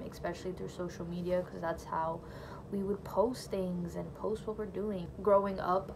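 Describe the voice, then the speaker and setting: A woman's voice: on the low side, creaky, background noise. A young woman whose first language is Portuguese, chatting casually to camera in her bedroom about her faith testimony, full of "like" and "you know". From Brazilian family. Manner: chatty, lots of "like" and "you know", laughs lightly. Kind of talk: casual personal storytelling to camera. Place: small bedroom, indoors. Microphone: phone or camera close in front.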